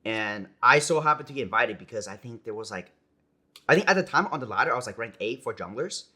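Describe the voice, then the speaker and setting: A young American man, a pro gamer, chatting casually in an interview, relaxed and rambling with lots of "like" and "you know". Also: mid-range voice, fast talker, husky. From United States. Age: young adult. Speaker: esports player guest.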